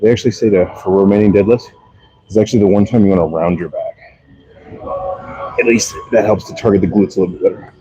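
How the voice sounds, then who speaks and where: Guy in his 40s streaming his workout, low mellow voice, offhand, bit muffled. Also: fast talker, pauses often, slightly rough. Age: early 40s. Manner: offhand, explains exercises as he goes. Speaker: fitness live streamer. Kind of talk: casual workout commentary to live viewers. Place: workout space.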